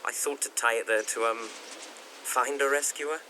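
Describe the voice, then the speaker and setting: An actor playing a modest young gentleman architect in a period audio drama, apologetic and hesitant in manner. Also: medium pitch, steady tone, unhurried, slight background noise, low-quality recording. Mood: sheepish.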